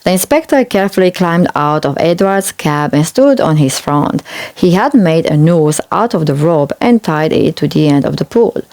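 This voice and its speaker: A woman reading a children's storybook aloud in an expressive way. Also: low-pitched, normal speed. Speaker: storybook reader.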